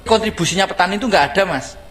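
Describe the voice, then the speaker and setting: A man's voice: sits high, fast talker, fairly bright tone. A man with a Javanese accent, a farmer speaking bluntly and mockingly, asking rhetorical questions and answering them himself. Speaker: farmer in a reacted-to video clip. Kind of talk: blunt scolding monologue to a student activist.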